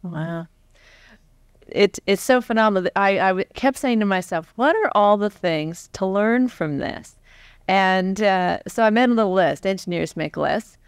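Clear, animated American woman interviewer. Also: mid-range voice, measured pace, no echo, studio quality. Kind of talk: conversational onstage interview. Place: stage before a live audience. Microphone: large foam-covered microphone on a stand close by.

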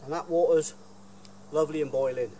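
A man's voice: a little high, fairly even intonation, brisk, mellow, slight background noise. A middle-aged man chatting enthusiastically to camera while reviewing camping gear outdoors in a woodland. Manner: chatty, enthusiastic, folksy with fillers. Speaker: bushcraft reviewer.

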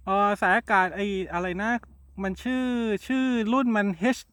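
A man's voice: high-pitched, moderate pace, pushed and bright, smooth, no echo. A man in a casual amateur radio exchange, polite and hesitant, repeating his words. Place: outdoors in a garden.

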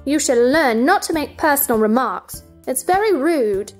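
A woman's voice: high voice, expressive, moderate pace, faint music behind. A woman playing a polite, curious and easily indignant young girl in a stage play dramatization.

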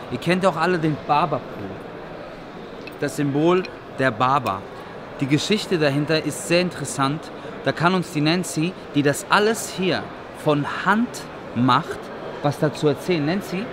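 A man in his thirties, a barber, giving an excited, chatty vlog tour at a trade fair. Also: a little high, normal speed, noisy background. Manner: upbeat, enthusiastic, chatty. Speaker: vlogger and barber. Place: busy indoor convention hall. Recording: crowd chatter behind.

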